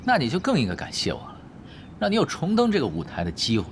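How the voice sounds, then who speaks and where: An actor playing a cool, sardonic younger pro gamer in a Chinese audio drama, with a dry, teasing manner. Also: male voice, mid-range voice, wildly swooping pitch, quick pace, background noise. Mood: wry.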